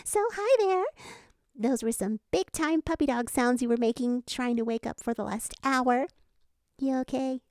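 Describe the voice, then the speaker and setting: Woman as a bubbly, high-pitched cartoon girl. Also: normal speed, darkish tone, dry recording, clean studio sound. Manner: chipper, giggly.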